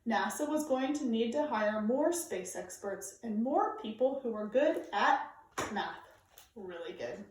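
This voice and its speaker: A woman reading a children's nonfiction book aloud in a measured, emphatic way, phrasing it for young listeners. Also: on the high side, lively intonation, darkish tone, a little hiss or hum. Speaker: storybook reader. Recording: very echoey room, distant microphone.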